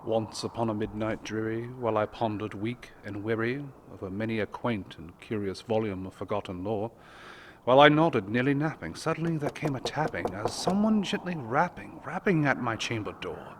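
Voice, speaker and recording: A man's voice: lowish voice, animated, slight background noise, close to an everyday voice. A man playing a weary, grieving, haunted narrator in a dramatic, rhythmic gothic poetry reading. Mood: brooding. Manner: theatrical, measured, rhythmic.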